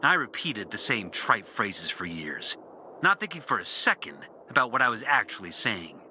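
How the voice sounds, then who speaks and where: An actor playing a bitter, disillusioned former priest in a video game, speaking a brooding, deliberate confessional monologue with dramatic pauses. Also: male voice, fairly low pitch, very animated, pushed and bright, a little hiss or hum, exaggerated voice. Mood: scornful, fervent. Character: disillusioned former priest, bitter and intense, recounting his lost faith. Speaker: character narrating an audio journal.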